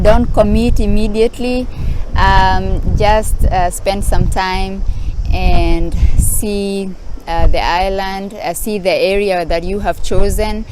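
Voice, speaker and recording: A woman from Kenya, sitting on rocks outdoors and giving casual vlog advice in a hesitant way, pausing often with fillers. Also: mid-range voice. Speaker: vlogger. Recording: outdoor recording with traffic noise.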